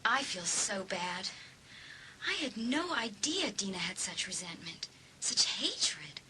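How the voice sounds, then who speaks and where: A woman's voice: mid-range voice, big pitch swings, takes it slowly, sharp tone, slight background noise, full character voice, poor audio. A woman playing a determined teenage girl in a dramatised audiobook, speaking in a pointed, dramatic way. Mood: regretful. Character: determined, accusing teenage girl confronting a treacherous friend.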